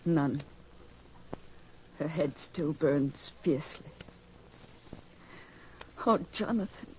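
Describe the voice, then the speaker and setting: A woman's voice: deep voice, extremely slow, a little mellow. An actress playing a frightened mother of a sick child in a 1940s radio drama, pleading tearfully. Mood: frantic. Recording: old lo-fi radio recording with hiss.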